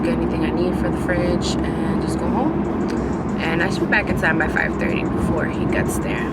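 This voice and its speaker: A woman in her twenties, chatting casually to camera while driving, rambling and questioning herself. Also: lowish voice. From New York City. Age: 20s. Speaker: vlogger. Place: inside a car in city traffic. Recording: loud road noise behind.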